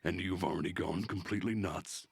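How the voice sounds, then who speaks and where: A man acts out an unhinged young male pioneer in a visual novel. He is smug and cryptic, taunting with stretched-out words. The voice is low and husky, recorded dry. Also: brisk, no echo, full character voice.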